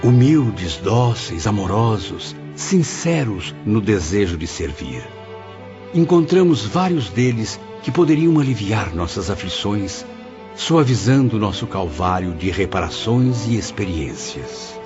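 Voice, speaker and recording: A man acts out an earnest, indignant male spirit of a writer in an audiobook drama. His voice sits fairly low and moves in dramatic swings, with formal literary diction. The recording is a bit dull, with faint music underneath. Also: very animated, measured pace. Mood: grateful, hopeful.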